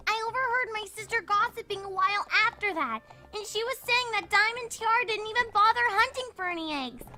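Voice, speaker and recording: A woman voicing plucky, matter-of-fact young fillies in an earnest, animated way for a fan fiction reading. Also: very high-pitched, a little fast, over music. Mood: gossipy.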